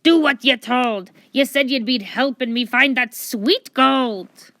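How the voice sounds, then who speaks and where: A woman voicing a gruff, blustering male pirate captain with a stage pirate accent in a storybook. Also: sits high, takes it slowly, pushed and bright, dry recording, studio quality, pitched above the performer's own voice, exaggerated voice. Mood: grumbling. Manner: barking, snarling, boastful.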